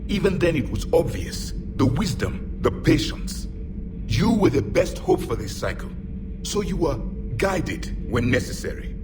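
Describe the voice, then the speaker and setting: Haughty ancient alien warrior, swooping intonation. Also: male voice, fairly high pitch, big pitch swings, unhurried. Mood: solemn, rallying. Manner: haughty, clipped, solemn pronouncements. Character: proud, stern ancient alien warrior man, blunt and haughty. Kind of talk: dramatic video game voice acting. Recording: loud music behind the voice.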